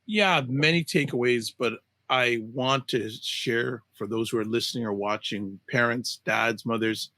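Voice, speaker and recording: Dude cohosting a podcast, friendly, medium voice, dry close mic. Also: male voice, medium pitch, unhurried, hardly any pauses, pushed and bright, dry recording. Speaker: podcast co-host. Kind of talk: casual podcast chat.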